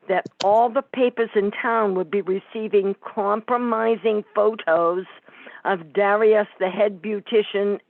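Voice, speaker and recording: Sarcastic mob wife for radio comedy, woman acting: slow, needling delivery, narrow phone-like sound. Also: mid-range voice, dry recording. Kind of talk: amateur old-time radio comedy play. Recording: thin phone-like sound, dry.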